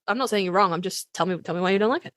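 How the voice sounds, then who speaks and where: A woman's voice: mid-range voice, racing, a bit bright, dry recording, studio quality. A woman co-hosting a podcast in casual banter, teasing and asking questions. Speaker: podcast co-host.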